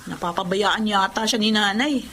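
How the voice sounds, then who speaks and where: A woman's voice: mid-range voice, moderate pace, fairly bright tone, a little hiss or hum, close to an everyday voice. A Filipino actress playing a resentful working mother and eldest daughter in a Tagalog radio drama. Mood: reproachful.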